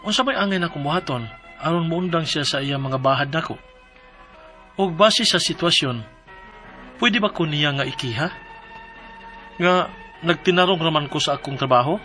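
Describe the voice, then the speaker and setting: A polite, worried young delivery rider in a radio drama, played by a man in his twenties. He speaks in a bright, fairly high voice with soft music underneath. He keeps addressing people respectfully and apologetically. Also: moderate pace, long pauses. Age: 20s. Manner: polite and apologetic, says sir and ma'am often. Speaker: letter sender narrating his problem.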